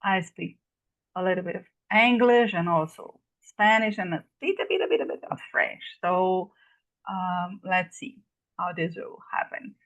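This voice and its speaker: A woman's voice: on the low side, expressive, a little slow, fairly bright tone, rough recording. A woman from Brazil, a native Portuguese speaker with a Brazilian Portuguese accent, giving a casual online class presentation as a guest lecturer. She speaks hesitantly and apologetically, with many fillers and restarts. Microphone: video call.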